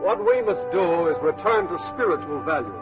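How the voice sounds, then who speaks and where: An actor playing an earnest, idealistic male community leader in a radio drama, speaking deliberately and anxious for the future. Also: fairly high pitch, expressive, natural voice. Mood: preaching, solemn. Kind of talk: 1950s radio drama acting. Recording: muffled old radio recording, music behind.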